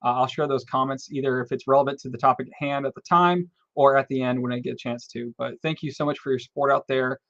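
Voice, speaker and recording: A man's voice: mid-range voice, fairly even intonation, quick pace, few pauses, a bit bright, dry recording. A man hosts a livestreamed panel from behind his computer, talking loosely with rambling friendly asides. The sound is dry with no room to it. It is muffled and lo-fi, like a cheap webcam mic. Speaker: host of a live panel stream. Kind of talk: casual moderating.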